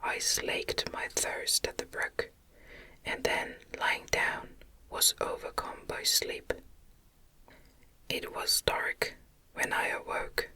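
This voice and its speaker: Woman whispering very slowly, ASMR reading. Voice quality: breathy, unvoiced whisper. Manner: gentle, soothing, deliberate. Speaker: audiobook reader. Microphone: close microphone.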